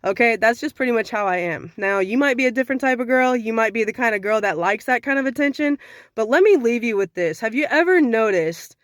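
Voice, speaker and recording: A young woman with a slightly raspy voice from sinus trouble, giving frank dating advice in a casual talk to camera. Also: a little high, animated, fairly quick, no room sound, studio quality. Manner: frank, conversational, sassy.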